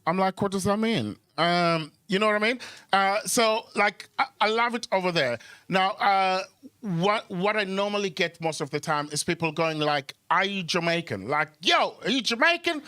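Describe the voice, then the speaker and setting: A man's voice: sits high, expressive, normal speed, pushed and bright, clean tone, dry recording, clean studio sound. A man from Kenya doing conversational stand-up on a club stage microphone, hesitating and repeating words as he goes. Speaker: stand-up comedian. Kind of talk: live stand-up comedy, conversational storytelling with crowd work.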